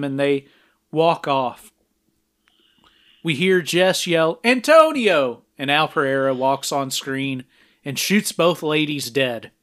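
Young guy with a slightly high voice running through a movie plot, laughs a lot. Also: smooth. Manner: laughs often, steady recap narration. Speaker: podcast co-host. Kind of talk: casual chat and film recap.

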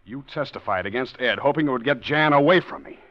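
An actor playing a resentful, scheming husband in a 1940s radio drama, bitter and halting. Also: male voice, mid-range voice, dry recording, lightly acted. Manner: bitter, hesitant with fillers. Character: scheming resentful husband, George, middle-aged. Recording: dull old radio recording.

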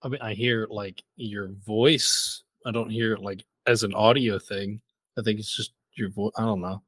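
A man's voice: fairly low pitch, fairly even intonation, normal speed, fairly bright tone, no echo. A man co-hosting a podcast in a casual, conversational style. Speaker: podcast co-host. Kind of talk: casual podcast chat with some scripted intro.

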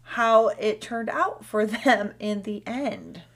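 A woman co-hosting a podcast while she has a head cold, so she sounds a little congested. She tells her stories in an animated way, laughs often and restarts words. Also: a little high, slow. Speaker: podcast co-host. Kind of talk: casual chat.

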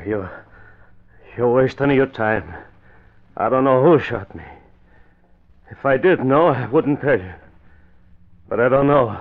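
An actor playing a wounded, tight-lipped gangster who is curt and defiant, in old radio crime drama style. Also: male voice, medium pitch, animated, slow pace, long pauses. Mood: defiant, bitter. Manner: curt, hostile. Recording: dull lo-fi old radio recording.

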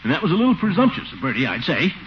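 A muffled, noisy vintage radio comedy recording of a pompous middle-aged man. He blusters and gets easily flustered, swinging widely in pitch with a self-satisfied chuckle. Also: wildly swooping pitch, racing. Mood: blustery, brisk. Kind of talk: 1940s radio comedy acting.

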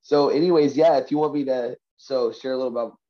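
A man's voice: medium pitch, steady tone, fast, dull audio. A man from Vancouver with a congested, stuffy nose, sick and chatting casually as a guest, full of slangy bros and dudes. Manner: slangy, says bro, dude and you know. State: sick with a stuffy cold from covid. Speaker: podcast guest, hobby rapper and poet. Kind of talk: casual chat, personal storytelling.

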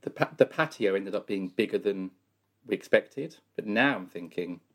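A man in his late thirties with a fairly low voice co-hosts a podcast. He tells rambling, jokey stories with a dry sense of humour. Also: animated, normal speed, fairly bright tone. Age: 30s. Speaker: podcast co-host. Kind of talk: casual podcast chat.

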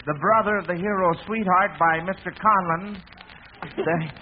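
A man's voice: high-pitched, fairly even intonation, moderate pace. A man hosting an old radio quiz with children in a folksy, encouraging manner, repeating their answers. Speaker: quiz host. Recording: tinny, hissy old radio broadcast.

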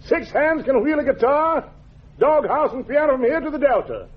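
A man's voice: extremely high pitch, moderate pace. A man hosting a 1940s radio show with slangy, playful jive patter as he announces the acts. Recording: dull, lo-fi 1940s radio recording.

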